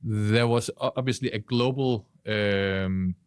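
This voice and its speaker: A man speaking conversationally on a podcast panel, with hesitant, deliberate phrasing. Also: lowish voice, clean tone, no echo, clean studio sound.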